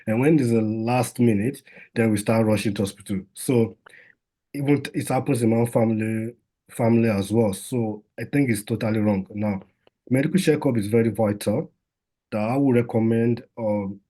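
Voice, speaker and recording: Husky-voiced man giving a casual health talk. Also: lowish voice, normal speed, dark tone. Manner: conversational, many fillers and restarts.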